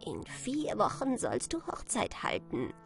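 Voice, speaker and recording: A woman voicing a bossy, scolding female field mouse in a storybook, brisk and insistent. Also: medium pitch, huge pitch swings, gravelly, faint music behind, full character voice.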